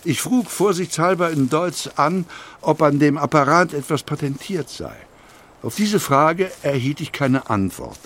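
Measured industrialist recalling early days, higher-voiced. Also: male voice, expressive, fairly bright tone, full character voice. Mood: measured, matter-of-fact. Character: industrialist founder recalling his early workshop years, measured. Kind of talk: first-person memoir reading in audio documentary.

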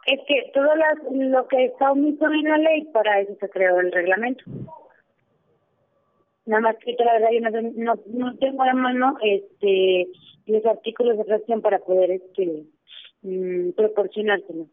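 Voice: medium pitch, normal speed, no room sound. A clerk at a human rights office answering a phone call, hesitant and full of fillers. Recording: tinny phone line.

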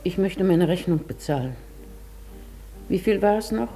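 An older woman acting a poor, proud and wistful old widow in a German radio drama, speaking formally and with measured care. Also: fairly low pitch, animated, mellow, faint music behind. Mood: restrained. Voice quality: husky, creaky.

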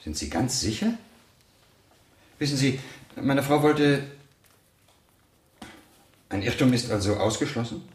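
An actor playing a worried, insistent husband in a German radio crime play, with clipped, pressing questions. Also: male voice, mid-range voice, lively intonation, unhurried, pauses often, roomy sound, close to an everyday voice.

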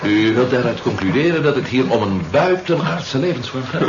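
Man acting animated, dry-witted investigator. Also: mid-range voice, moderate pace, dull audio, over music, natural voice. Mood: intent. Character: dry, determined male investigator hunting alien invaders. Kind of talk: 1970s Dutch radio drama acting. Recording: roomy, very noisy old radio recording.

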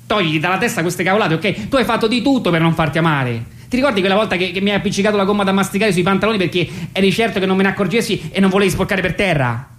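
A woman playing an exasperated partner confessing unwanted love in a colloquial, halting radio reading, often repeating herself. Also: mid-range voice, lightly acted. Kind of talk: staged radio reading, conversational dialogue.

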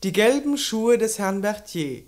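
Formal woman radio announcer, medium pitch. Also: sing-song, measured pace, darkish tone. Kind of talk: formal scripted 1950s radio credits announcement.

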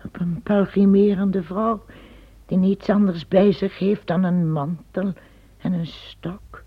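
An actress playing an older empress, lost and weary as a pilgrim, in an old radio play. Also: female voice, mid-range voice, fairly even intonation, slow, husky, dry recording, highs cut off. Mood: uneasy. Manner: weary and uneasy. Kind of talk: radio drama acting.

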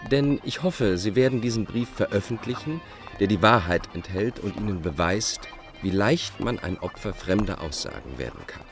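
A man's voice: on the low side, lightly acted. An actor playing a bitter, self-justifying Dutch fugitive in a radio drama, setting out his letters in a formal, pedantic way. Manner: formal, pedantic, enumerating point by point. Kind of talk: radio drama monologue, letters read aloud.

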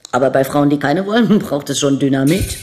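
An actress playing a brash, world-weary young bride-to-be who is coquettish, blunt and provocative, in a radio crime drama. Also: female voice, low voice, brisk, a little mellow, slight background noise, natural voice. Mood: bitter, weary. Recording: studio radio drama with music underneath.

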